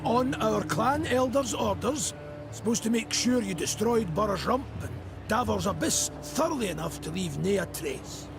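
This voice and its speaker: A man playing an earthy, blustering dwarf clansman in a video game, with a Scottish accent and a gravelly voice. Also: high voice, animated, moderate pace, music in the background. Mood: sheepish. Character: crude, evasive dwarf clansman confessing uneasily. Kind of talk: scripted video game voice acting.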